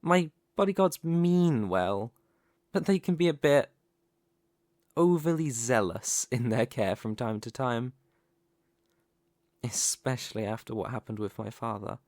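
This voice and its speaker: A man's voice: medium pitch, darkish tone, no room sound, lightly acted. A man playing a young mafia heir in a scripted audio roleplay, with polite, formal manners over cunning menace. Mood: courteous, gracious. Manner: measured, courteous, formal delivery.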